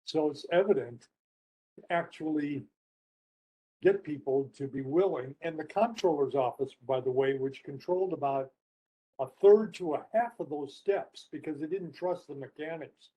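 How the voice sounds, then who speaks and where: A man speaking as a panelist, reflecting off the cuff and telling anecdotes in halting phrases with repeated words. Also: a little high, breathy edge, muffled recording. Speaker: panelist, experienced change practitioner. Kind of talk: unscripted discussion, telling anecdotes.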